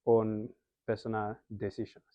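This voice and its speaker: A man's voice: on the low side, completely flat delivery, normal speed, no room sound. A young man from Nigeria with a Nigerian accent, a guest in a casual interview who explains things in an earnest way. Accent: Nigerian English. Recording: slightly muffled lo-fi sound.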